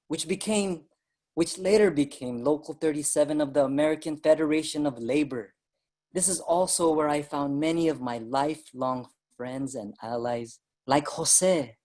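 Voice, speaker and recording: Actor as earnest young Filipino migrant organizer. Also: male voice, a little high, darkish tone, close to an everyday voice. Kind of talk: dramatic staged reading, first-person narration. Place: virtual live event, remote reading.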